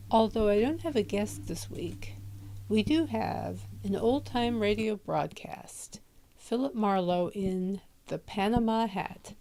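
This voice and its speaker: A woman's voice: mid-range voice, a little mellow, no echo. A woman hosting a podcast, reading a scripted introduction. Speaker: podcast host. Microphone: handheld dynamic USB microphone.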